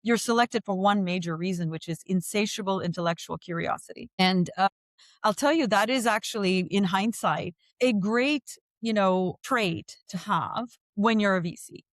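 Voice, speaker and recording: A middle-aged woman from India, a venture fund co-founder speaking as a podcast guest in measured, articulate, long flowing sentences. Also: medium pitch, dry recording. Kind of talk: interview conversation.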